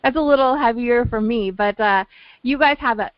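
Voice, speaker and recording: A woman's voice: a little high, normal speed, no echo, lo-fi sound. A woman hosting a radio show, chatting to a band on the line with rambling restarts. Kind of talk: radio interview.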